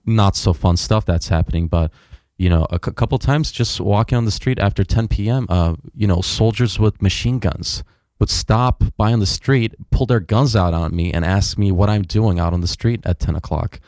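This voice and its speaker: A young man with a low, mellow voice tells his story in a relaxed, wandering way, full of fillers and restarts. The sound is dry and close, with no room echo. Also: moderate pace. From United States. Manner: rambling, many fillers and restarts. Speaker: guest. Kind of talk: casual interview storytelling.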